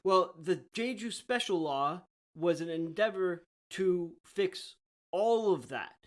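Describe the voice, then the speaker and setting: A man's voice: high voice, lively intonation, takes it slowly. A man co-hosting a casual podcast, explaining topics in a chatty way and laughing easily. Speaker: podcast co-host.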